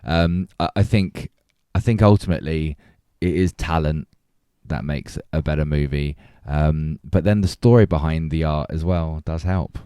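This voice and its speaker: A man's voice: very deep voice, normal speed, dark tone, clear, resonant tone, dry recording, studio quality. A man hosting a casual film discussion podcast, talking reflectively and circling back to rephrase his points. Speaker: podcast host.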